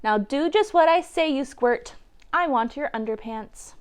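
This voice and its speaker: A woman's voice: high-pitched, expressive, measured pace. A woman voicing a bossy, ruthless female pirate captain in a children's storybook, with a commanding delivery. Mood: demanding, taunting. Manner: commanding, taunting.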